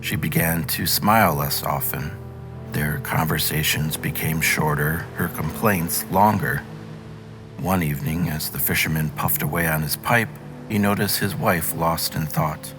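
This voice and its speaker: A man's voice: rumbling low, measured pace, over music. A man reading a fairy tale aloud as a storyteller, in scripted narration.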